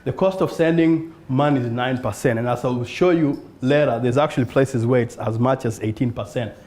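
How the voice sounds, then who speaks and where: A man from South Sudan pitches his startup to a live audience. His voice is mellow and a bit dark. He speaks earnestly and lifts his pitch on rhetorical questions. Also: brisk. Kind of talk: conference pitch talk. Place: event room in front of an audience.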